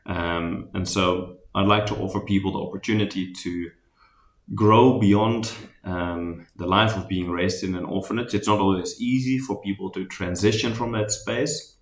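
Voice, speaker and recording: A man with a Dutch accent talks through a recording of his design session in a loose, conversational way, often pausing on ums and restarting sentences. His voice sits very low. The sound bounces around a roomy, echoey space. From Netherlands. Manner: relaxed, talkative, many ums and restarts. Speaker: spatial design architect presenting a workshop recap. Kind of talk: recorded presentation recap.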